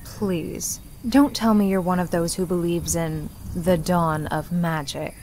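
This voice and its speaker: A woman's voice: on the low side, fairly even intonation, unhurried, darkish tone, slight background noise, voice pushed down. A woman voicing a curious, polite but sceptical young female traveler in a visual novel. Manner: polite, inquisitive.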